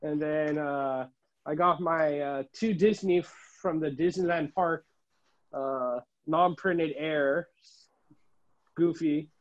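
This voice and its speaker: A middle-aged man giving a casual show-and-tell tour as a collector, listing items haltingly, recorded indoors on a handheld phone. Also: a little high. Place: room at home, indoors. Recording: dull, muffled phone audio.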